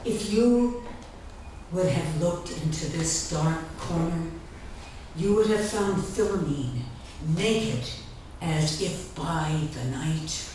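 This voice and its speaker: A woman giving a dramatic literary reading of her own writing, theatrical and deliberate, with long pauses between phrases. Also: deep voice, animated, darkish tone. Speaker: author reading from own memoir. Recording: echoey room, distant mic, faint background noise.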